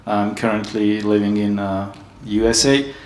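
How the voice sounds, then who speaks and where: A man from Hungary giving a conference panel talk, steady and hesitant with many ums. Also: on the low side, measured pace, roomy sound. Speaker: panel speaker. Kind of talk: conference presentation.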